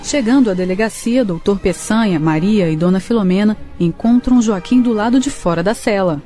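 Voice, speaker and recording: A woman narrating a scripted radio drama in a measured storytelling manner. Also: medium pitch, dark tone, faint music behind.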